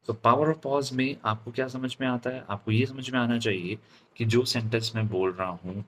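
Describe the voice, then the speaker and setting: A man with an Indian accent giving an instructional lecture as a speaking coach, in deliberate, halting phrases with frequent check-in questions. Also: mid-range voice, fairly even intonation, a little hiss or hum.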